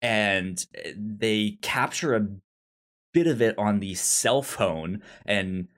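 A man talking casually about a film as a podcast co-host, halting and often stammering on repeated syllables. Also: on the low side, a bit bright.

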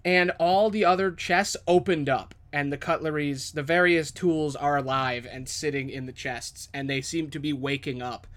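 High-voiced man narrating as game master. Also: steady tone, normal speed, few pauses, a bit bright. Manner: dramatic, descriptive storytelling. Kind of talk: improvised tabletop game narration.

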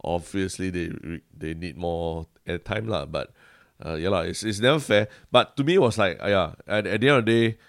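Deep-voiced Singaporean man, casual chat. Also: brisk, no room sound, clean studio sound. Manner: relaxed, agreeable, ends phrases with lah. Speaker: podcast co-host.

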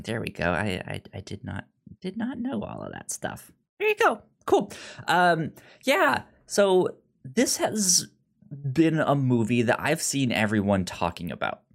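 A man's voice: medium pitch, huge pitch swings, slow pace, vocal fry, long pauses. A man co-hosting a casual movie review podcast, speaking enthusiastically and often repeating words as he thinks. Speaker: podcast co-host.

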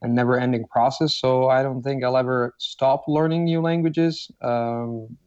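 An Italian-native man speaks in a dark, mellow voice. His answers are thoughtful and halting, full of fillers and restarts. The sound is dry and a bit dull. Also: mid-range voice, fairly even intonation, clear, resonant tone, no echo. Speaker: guest, polyglot. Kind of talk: casual interview talk.

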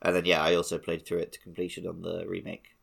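A man with a fairly low, gravelly voice full of fry. He speaks conversationally, as a co-host in a casual podcast discussion. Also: monotone, quick pace, a bit bright.

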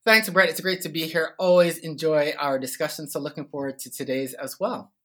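A middle-aged Canadian man talks through his work as a guest on a casual podcast. He explains things at an even, methodical clip and often starts his sentences with "so" and "uh". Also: on the high side, fairly quick. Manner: steady explaining, frequent "uh" and "so" fillers. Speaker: podcast guest, business growth consultant. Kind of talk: casual interview talk.

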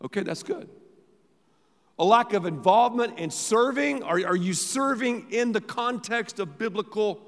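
A middle-aged pastor preaching a sermon, emphatic, repeating phrases, swinging between loud and quiet. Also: male voice, sits high, lively intonation, moderate pace. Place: large church auditorium. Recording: long hall reverb.

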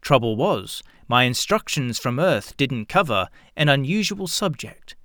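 A man's voice: mid-range voice, sing-song, a little slow, fairly bright tone, no room sound, clean studio sound. A man hosting and narrating scripted science fiction audio stories in the first person. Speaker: host and narrator.

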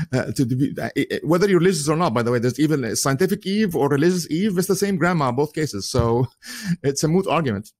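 A man's voice: fairly high pitch, animated, brisk, a little mellow. A man whose first language is Arabic, giving an earnest solo commentary in English with frequent chuckles and many "uh"s and restarts.

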